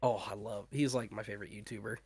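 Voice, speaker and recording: A man's voice: fairly low pitch, fairly even intonation, fast, creaky, slightly rough, no echo. A young man talking casually as an interview guest, agreeable, slangy and enthusiastic. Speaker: guest on an interview, horror series creator. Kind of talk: casual chat.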